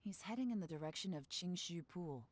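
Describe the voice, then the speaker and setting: An actress playing a cool, confident female spy in a video game, calm and commanding. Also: lowish voice, a little fast, no room sound.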